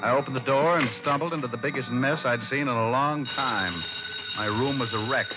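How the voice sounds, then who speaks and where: An actor playing a wry, tough American private detective in an old radio drama, speaking in a laconic, offhand way. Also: male voice, mid-range voice, fairly quick, natural voice. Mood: coolly narrating. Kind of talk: 1940s-50s radio drama acting and first-person narration. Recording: dull old radio recording with music.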